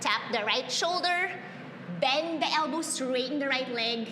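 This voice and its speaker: Bright high-voiced yoga instructor woman. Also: unhurried, reverberant, long reverb tail, noisy background. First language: Tagalog. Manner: upbeat, cueing breaths, laughs and cheers often. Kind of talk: guided yoga class instruction.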